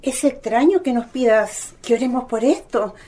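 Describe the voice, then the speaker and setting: A woman's voice: a little high, lively intonation, moderate pace, mellow. An actress playing a tender, earnest middle-aged mother and devout daughter in a radio drama. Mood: worried. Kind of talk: Spanish-language radio drama acting.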